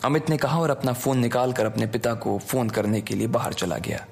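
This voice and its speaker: A man's voice: medium pitch, fairly quick, vocal fry, darkish tone. A man narrating a dramatic audio story, with background music under his voice.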